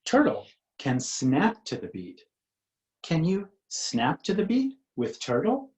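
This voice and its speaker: A man's voice: on the high side, wildly swooping pitch, slow, a little mellow, reverberant. A man reading a picture book to young children as its author, in a singsong, inviting way with questions for listeners.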